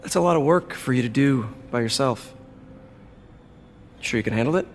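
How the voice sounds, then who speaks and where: A man's voice: mid-range voice, animated, normal speed, slight background noise, close to an everyday voice. An actor playing an earnest, awkward young man who stammers a little, in a naturalistic game cutscene. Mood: reassuring. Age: young adult. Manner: hesitant, stammering. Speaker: young lab assistant hero in a video game. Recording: long hall reverb, music behind.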